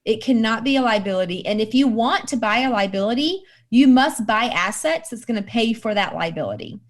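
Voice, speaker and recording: A woman's voice: a little high, animated, a little fast. A woman in her thirties answering interview questions in a casual, upbeat way and laughing readily. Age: 30s. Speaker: guest.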